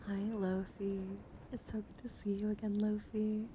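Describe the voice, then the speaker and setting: A woman hosting an ASMR livestream, speaking softly to viewers and often slipping into whispers. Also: mid-range voice, flat delivery, unhurried. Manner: soft-spoken, often dropping into whispers. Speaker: livestream host. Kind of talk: ASMR, soft chat to livestream viewers with card reading. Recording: clearly audible ambient background noise.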